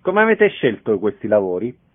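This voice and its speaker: A man's voice: lowish voice, big pitch swings, moderate pace, husky. A man hosting a radio interview, putting questions to guests. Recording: narrow-band radio sound.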